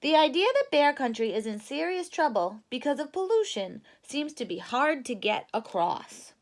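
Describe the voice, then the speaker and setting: A woman voicing a learned, concerned male bear professor in a storybook, in a measured, explanatory manner. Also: high-pitched, sing-song, voice pushed up.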